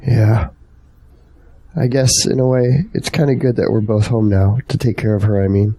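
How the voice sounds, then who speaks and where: Man playing an earnest, gravelly-voiced young son. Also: lowish voice, steady tone, moderate pace, dark tone. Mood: resigned. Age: young adult. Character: earnest, devoted young adult son, anxious for his family. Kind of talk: audio drama acting.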